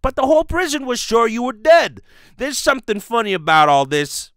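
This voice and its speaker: A man's voice: extremely high pitch, very animated, brisk, fairly bright tone, dry recording, studio quality, pitched above the performer's own voice, full character voice. A man voicing a panicky, slangy, dim working-class fellow in an old-style radio play. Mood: frightened. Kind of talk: old radio serial acting.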